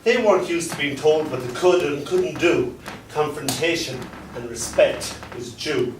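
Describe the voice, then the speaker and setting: A man performing dark comic poetry and stories live, with a theatrical delivery and dramatic pauses. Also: a little high. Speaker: spoken word poet. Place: spoken word venue in a London pub. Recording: echoey live venue, distant mic, background noise.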